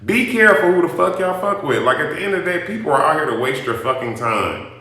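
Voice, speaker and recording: A man with a bright, forceful voice, pitched a little high, tells a casual story straight to his listeners. He is blunt and emphatic and swears freely. The recording rings with heavy room echo. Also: lively intonation, fast talker. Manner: emphatic, blunt, profanity-laden, addresses listeners directly. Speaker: storytime host addressing an audience. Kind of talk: casual animated storytelling, rant. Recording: very echoey room.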